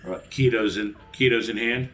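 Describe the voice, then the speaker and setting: A man's voice: lowish voice, moderate pace, fairly bright tone. A man playing a tabletop roleplaying game, chatting casually as he plans in character.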